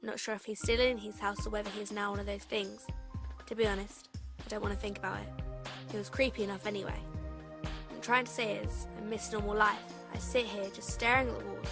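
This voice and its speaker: A woman's voice: mid-range voice, flat delivery, fast, gravelly, natural voice. A young woman playing a frightened, lonely schoolgirl survivor, narrating an audio drama in an anxious, confiding way. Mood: wistful, anxious. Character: frightened lonely schoolgirl trapped at home in apocalypse. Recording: voice over loud music bed. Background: music.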